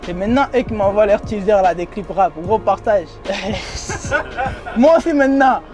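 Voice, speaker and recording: High-voiced young man with a foreign accent. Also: measured pace, over music. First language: not French. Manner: slangy, streetwise, repeats phrases, laughs often. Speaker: interviewee. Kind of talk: casual interview talk. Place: in a limousine.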